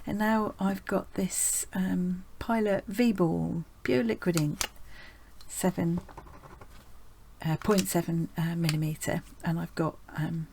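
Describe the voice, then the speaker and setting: Croaky woman chatting over her painting. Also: lowish voice, steady tone, slow pace, a little mellow, a little hiss or hum. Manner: chatty, meandering, many ums, drops to a whisper at times. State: croaky from a cold. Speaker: art channel host. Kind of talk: casual craft vlog narration.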